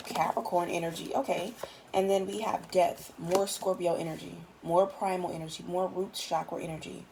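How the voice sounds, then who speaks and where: A tired woman with a raspy voice, giving a casual, chatty tarot reading in loose, swearing everyday talk. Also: medium pitch, background noise. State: just woke up, tired. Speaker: tarot reader.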